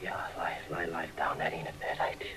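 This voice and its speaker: A man's voice: very deep voice, flat delivery, a little fast, bright, forceful tone, husky, full character voice. An American actor playing a desperate, paranoid small-time gangster in a 1940s radio drama, with slangy tough-guy talk. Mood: frightened pleading. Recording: vintage 1940s radio broadcast recording.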